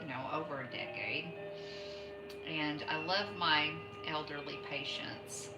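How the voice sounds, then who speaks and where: A middle-aged woman with a low voice rambles through a casual craft chat, breaking off and restarting her sentences. She sits back from the mic in an echoey room, with music playing behind her. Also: recorded from a distance. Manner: rambling, chatty, self-interrupting. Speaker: craft livestream host. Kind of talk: casual chat while knitting. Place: home.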